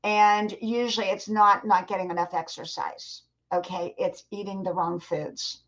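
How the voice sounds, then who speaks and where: A woman's voice: mid-range voice, flat delivery, unhurried, a bit bright, lo-fi sound. A woman in her sixties chatting casually on a live stream, answering viewers and giving encouragement, often repeating herself. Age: 60s.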